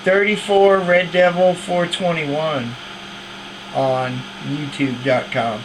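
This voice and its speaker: A man's voice: on the high side, level tone, slow pace. A man bantering casually and teasingly as he records CB radio chatter. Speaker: CB radio hobbyist recording a video. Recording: very noisy CB radio room recording.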